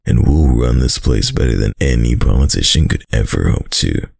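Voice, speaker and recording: Guy doing a dominant doting mob boss boyfriend, super gravelly n husky, up close asmr roleplay, slow tough talk. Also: darkish tone, no echo, exaggerated voice. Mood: earnest, devoted. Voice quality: very deep, gravelly voice. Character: dominant, doting young male mafia heir, tough but tender. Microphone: close, dry microphone.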